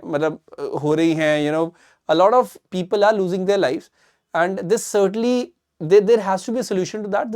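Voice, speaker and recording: A man's voice: high-pitched, darkish tone. A man with an Indian accent presents current affairs analysis in an emphatic, repetitive explanatory way, switching between two languages. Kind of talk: explanatory news analysis to camera.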